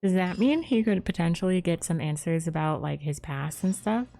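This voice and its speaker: A woman's voice: fairly low pitch, normal speed, vocal fry, mellow. A young woman giving casual live commentary as a game streamer, musing in an informal, rambling way. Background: faint game music.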